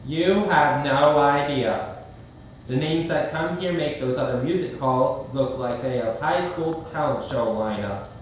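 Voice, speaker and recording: A man's voice: mid-range voice, a little slow, highs cut off, lightly acted. A man playing a confident, superior veteran theater usher mentoring a newcomer in an amateur drama. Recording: very echoey room, distant, faint noise.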